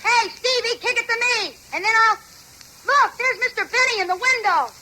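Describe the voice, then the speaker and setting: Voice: very high-pitched, normal speed, noisy background. A performer playing an eager young neighborhood boy in an old radio comedy. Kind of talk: 1940s radio comedy acting.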